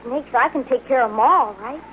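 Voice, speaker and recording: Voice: high voice, fairly quick, natural voice. A performer playing an eager, plucky young farm boy in an old radio western. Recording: muffled, very noisy old radio recording.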